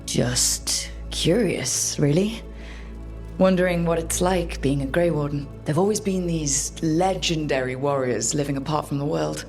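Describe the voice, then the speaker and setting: An actress playing a curious, teasing young adventurer in a fantasy video game, speaking in a casual, dry way. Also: female voice, low voice, a little slow, darkish tone, soft background music.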